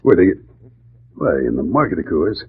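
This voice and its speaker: A nervous, crooked American butcher from a forties radio play. His voice is extremely low and quite husky, hesitant but with menace in it. The old recording is narrow and tinny. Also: sing-song, normal speed, heavily acted. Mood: defensive. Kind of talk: 1940s radio drama acting. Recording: muffled, narrow-band 1940s radio recording.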